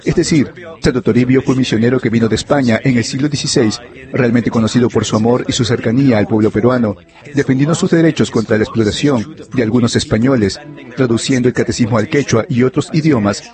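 A man giving a voice-over interpretation of an interview, relaying it in a steady, fluent way. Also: mid-range voice, quick pace, crowd noise.

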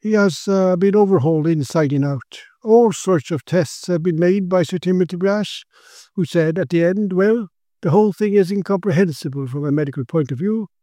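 A man's voice: sits high, moderate pace, mellow, no echo, studio quality, voice pushed up, natural voice. A man voicing an earnest, puzzled, grey-haired country doctor with a hesitant manner in a gothic story. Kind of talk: dramatised gothic horror story reading.

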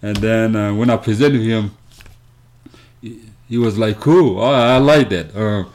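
Very deep-voiced South Sudanese man, halting delivery. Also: a little mellow. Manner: halting, with frequent pauses and repetitions. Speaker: interview guest, community organization founder. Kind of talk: interview storytelling.

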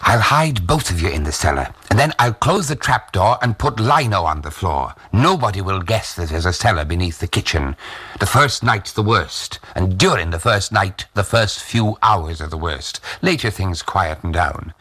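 A man playing an agitated, protective old grandfather in a literary reading, excitable and insistent. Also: sits low, normal speed, slightly rough, heavily acted. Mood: urgent, dismissive. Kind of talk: acted dialogue in a literary reading.

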